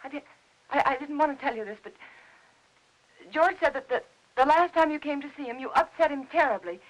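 An actress playing an anxious, evasive American housewife in her thirties in a 1950s film noir, with a halting, nervous delivery. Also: high-pitched, expressive, poor audio. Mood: desperate, pleading. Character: anxious, evasive young housewife hiding panic. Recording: hissy old 1950s film soundtrack.

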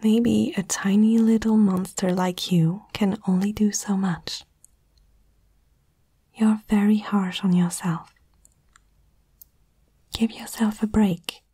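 A woman whispering softly and tenderly in a breathy voice, in a reassuring ASMR comfort roleplay, close to the microphone. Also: medium pitch, steady tone, slow pace, long pauses, dry recording.

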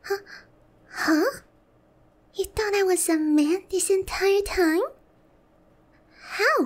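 A woman voicing a haughty but lonely, easily flustered female demon lord in roleplay ASMR, theatrical and often breathy. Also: very high-pitched, full character voice. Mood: offended, flustered. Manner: slow, theatrical, teasing.

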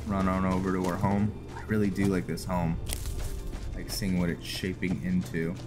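A man giving casual video game commentary, thinking aloud in a halting way as he plays. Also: low voice, monotone. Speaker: video game streamer narrating his play. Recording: music behind the voice. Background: loud music.

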